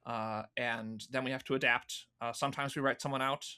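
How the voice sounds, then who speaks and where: Bright-voiced man explaining as interview guest. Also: medium pitch, steady tone, a little fast, clear, resonant tone. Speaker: guest, fan audio drama producer. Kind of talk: conversational interview answers.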